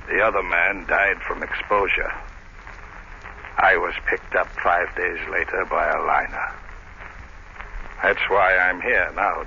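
A man's voice: low-pitched, pushed and bright, full character voice. An actor playing a grim, haunted seaman telling an ominous tale in dramatic 1930s radio style. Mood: grave, certain. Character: grim, haunted seaman recounting a ghost legend. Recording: dull, noisy 1930s radio recording.

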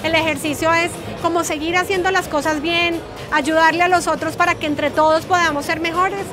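A woman's voice: very high-pitched, steady tone, a little fast. A Colombian woman in her forties answering an interview casually, in an emphatic and encouraging way. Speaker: coffee business owner interviewed. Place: coffee trade fair. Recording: music and crowd behind voice. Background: music and crowd.

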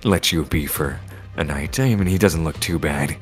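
A man's voice: a bass voice, normal speed. A man improvising a game roleplay, dry and sarcastic. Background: background music.

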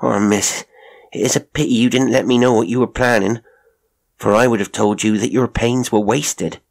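A man's voice: medium pitch, steady tone, moderate pace, vocal fry, voice pushed up, natural voice. A man voicing a gaunt servant woman in an audiobook, deferential and plain-spoken, with rustic turns of phrase. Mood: earnest, knowing. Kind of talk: audiobook character acting.

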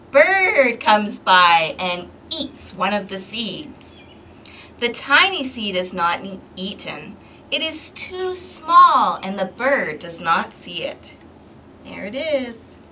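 Woman, children's storytime host, bright animated delivery, expressive and engaging with young listeners, miked from a distance outdoors. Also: mid-range voice, drawn-out words. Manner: expressive, clearly paced, questioning as if to young children. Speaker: storytime host for a community service. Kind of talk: interactive children's picture book read-aloud. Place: outdoor balcony. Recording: distant mic with outdoor background noise.

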